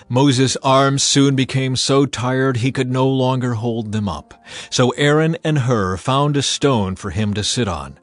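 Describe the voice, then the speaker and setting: A man narrating scripture from a script in a measured, solemn, dramatized style. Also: medium pitch, dry recording. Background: music.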